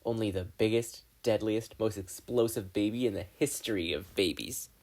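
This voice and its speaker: A man's voice: fairly low pitch, moderate pace, darkish tone. A male reader puts on a teenage girl inventor from a hero story. She is brash and eccentric, breezy about danger and boastful in her reckless glee.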